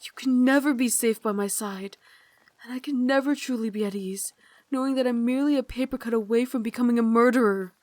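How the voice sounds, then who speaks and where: Anguished Victorian vampire lady, high and intimate, acted by a woman. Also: a little mellow, dry recording, close to an everyday voice. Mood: regretful, urgent. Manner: formal, dramatic, slow confiding phrasing with breathy whispered passages. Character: anguished young Victorian vampire lady, tender, guilt-ridden, self-denying. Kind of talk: intimate ASMR roleplay acting.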